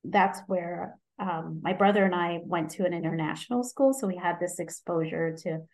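A woman's voice: lowish voice, moderate pace, poor audio. A woman in her forties from Egypt, a physician guest in an interview, talking casually with frequent ums, restarts and easy laughs. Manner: hesitant, many ums and restarts, laughs easily.

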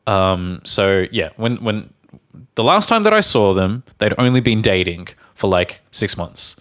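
Give me a low-pitched man co-hosting a podcast, telling a halting, rambling anecdote. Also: sing-song, a bit bright. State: sober. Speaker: podcast co-host. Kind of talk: casual chat, storytelling.

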